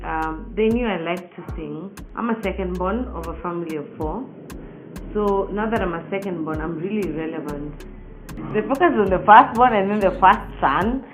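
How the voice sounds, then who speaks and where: A woman's voice: medium pitch, rough recording. A woman from Nairobi with a Kenyan accent, a singer telling personal stories casually and emphatically in an interview.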